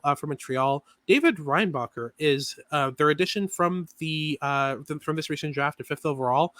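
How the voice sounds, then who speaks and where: A man co-hosting a sports podcast, talking through analysis in a quick, filler-heavy way with many restarts. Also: a little high, fairly bright tone, no echo. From Canada. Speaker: podcast co-host.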